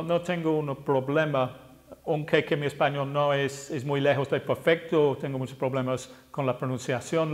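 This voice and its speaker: A man in his sixties from England, an English teacher with an English accent, talking casually to camera, often pausing and correcting himself. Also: fairly high pitch. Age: 60s. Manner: hesitant, self-correcting, plain-spoken.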